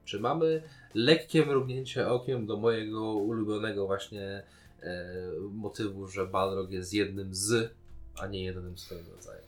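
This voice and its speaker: A man's voice: fairly low pitch, fairly even intonation, normal speed. A man, co-hosting a podcast in a casual discussion of a TV series. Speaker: podcast co-host.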